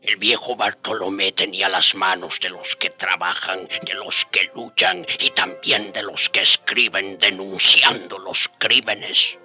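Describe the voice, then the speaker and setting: An actor playing a solemn storyteller, narrating a historical radio drama in a measured way. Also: male voice, low-pitched, fairly even intonation, bright, forceful tone, soft background music, heavily acted.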